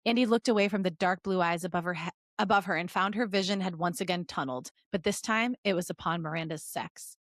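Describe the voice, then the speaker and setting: A playful woman co-hosting a podcast, moving between expressive story reading and casual banter. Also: lowish voice, quick pace, few pauses, dry recording, clean studio sound. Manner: playful, giggly. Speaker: podcast co-host reading fan fiction aloud. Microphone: close podcast microphone.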